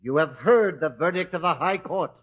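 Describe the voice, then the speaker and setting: A man's voice: a little high, lightly acted. A man playing a defiant, righteous judge. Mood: indignant. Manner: indignant and deliberate. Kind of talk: vintage radio drama acting. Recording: dull old radio recording.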